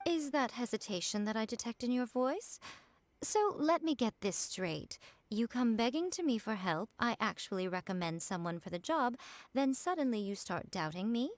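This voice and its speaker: An actress playing a sly, aloof shrine priestess in a video game, wry and languid, with a playfully condescending way of talking. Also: female voice, on the high side, expressive, normal speed, no room sound, natural voice. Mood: teasing. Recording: background music under the voice.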